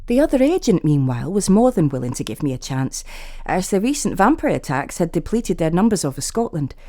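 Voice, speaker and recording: Female story narrator for scripted fantasy horror in first person; soft-edged, mellow tone, very dry close sound. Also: on the low side, no echo.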